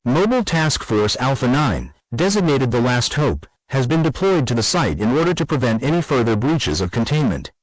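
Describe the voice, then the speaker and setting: Male emergency announcer, acted, grave and official audio drama read, animated pitch movement, close dry recording. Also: moderate pace, few pauses, no room sound, rough recording. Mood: grave, urgent. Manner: serious, official. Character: grave male official addressing secret foundation staff. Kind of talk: formal scripted emergency announcement.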